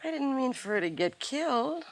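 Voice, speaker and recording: A woman's voice: sits high, very animated, normal speed. An actress playing a brazen, mocking, cynical woman with slangy, taunting delivery in a 1950s radio crime drama. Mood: shocked. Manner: taunting, slangy, hard-boiled. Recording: 1950s radio recording with music.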